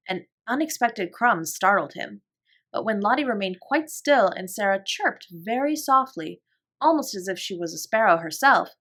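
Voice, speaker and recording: A woman narrating a classic children's novel as a bedtime story, in a calm, gentle, measured voice. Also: medium pitch. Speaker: audiobook reader.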